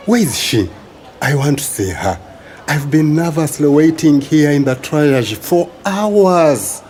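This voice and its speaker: Radio drama husband , male actor: Ugandan accent, anxious and emotive, unhurried delivery, very animated pitch. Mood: nervous. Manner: emotive, full of interjections. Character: anxious husband awaiting news of his sick wife.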